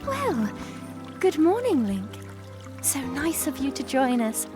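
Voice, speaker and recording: A woman playing a poised, gently teasing young princess in an audio drama. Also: high voice, very animated, takes it slowly, over music, full character voice.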